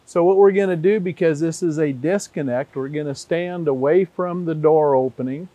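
A man's voice: a little high, moderate pace, dry recording. A man in his sixties, a service engineer, giving a methodical, plain-spoken walkthrough on a factory floor with a lapel mic. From Tennessee. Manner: methodical, plain-spoken, steady explanations with occasional "uh". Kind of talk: instructional walkthrough. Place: large factory floor, indoors.

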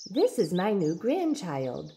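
A woman's voice: fairly low pitch, huge pitch swings, takes it slowly, gravelly, mellow, slight background noise, full character voice. A woman voicing a gossiping, superstitious old village grandmother with a dramatic storytelling manner, in a storybook reading. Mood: proud, doting. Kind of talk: storybook read-aloud.

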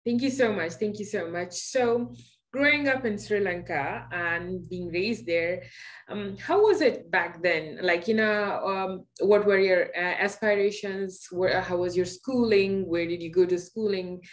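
A woman's voice: mid-range voice, a little slow. A woman hosting a video series interview over a video call, stacking questions with fillers. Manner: asks several questions at once, hesitant fillers. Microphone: video call webcam.

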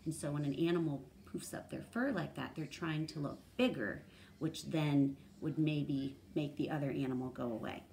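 A woman in her thirties reading a children's story aloud and pausing to explain words, thinking aloud like a teacher. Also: low voice, creaky, dark tone, a little hiss or hum. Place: small room at home, indoors.

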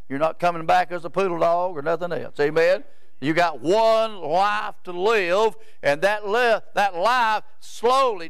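A man's voice: high-pitched, creaky, fairly bright tone, smooth, dry recording. A man, preaching a rambling, folksy sermon in a church sanctuary and often stopping to ask "Amen?". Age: 70s. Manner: rambling, repeats words, asks "Amen?" of the crowd.

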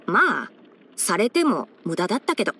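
A woman voicing a boyish young male fox-eared forest ranger and scholar in an anime game, instructive and frank with a gently teasing manner. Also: medium pitch, very animated, normal speed, husky, exaggerated voice. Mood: calm, frank. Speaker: character voice actor. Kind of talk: anime game character voice lines.

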